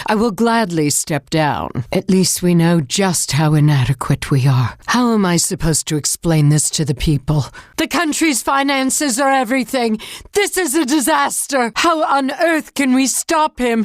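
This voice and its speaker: A woman's voice: on the high side, a little slow, no echo, studio quality. A woman playing a burdened, grave national leader in a video game, speaking in a formal, solemn and emotive way. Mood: alarmed. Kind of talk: video game voice acting, dramatic monologue.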